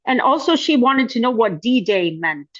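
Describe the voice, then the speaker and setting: Dull, close and dry online call audio carries a woman hosting a talk. Her voice is on the high side. She speaks in a casual, conversational way. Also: sing-song, a little slow, a bit bright, no echo. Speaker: host.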